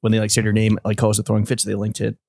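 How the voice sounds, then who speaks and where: A man's voice: fairly low pitch, completely flat delivery, very fast, gravelly, a little mellow, no echo, clean studio sound. A man co-hosting a podcast, trading wry, profane banter. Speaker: podcast co-host. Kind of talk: casual chat.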